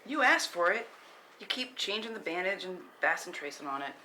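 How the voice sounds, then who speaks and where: A woman's voice: fairly low pitch, lively intonation, a little fast, bright, forceful tone, slight background noise, low-quality recording. A woman reading the part of a tough, guilt-ridden woman in a naturalistic script table read. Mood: curt.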